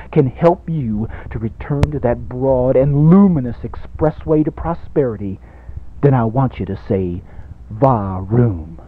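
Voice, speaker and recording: Man playing a pompous radio evangelist, narrow-band sound. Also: a little high. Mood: fervent exhorting. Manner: pompous, emphatic, repetitive, swelling preacher cadence. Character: grandiose male money-hungry radio evangelist preacher. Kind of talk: parody radio sermon. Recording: narrow-band 1980s college radio recording.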